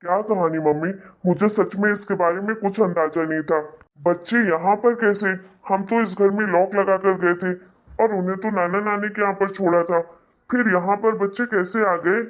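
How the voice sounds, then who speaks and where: A man's voice: high voice, fairly even intonation, normal speed, lightly acted. A man acts as a husband and father in a cartoon family drama. He protests earnestly and defensively to his elders, and the sound is slightly dull and lo-fi. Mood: bewildered, upset. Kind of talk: animated family melodrama acting.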